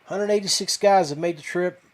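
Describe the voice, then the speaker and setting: Higher-voiced man, folksy podcast host. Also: normal speed. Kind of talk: casual live fishing talk to viewers.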